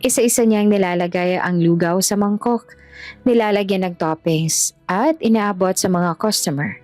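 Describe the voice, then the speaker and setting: A woman's voice: medium pitch, normal speed, a little mellow, no room sound. A woman storyteller reading scripted narration for an audio drama. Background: music.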